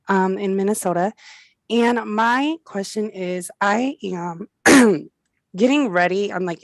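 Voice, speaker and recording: A woman's voice: mid-range voice, no echo. A singer-songwriter, a woman whose native language is Hmong, politely asking a question with some hesitation. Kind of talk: casual question.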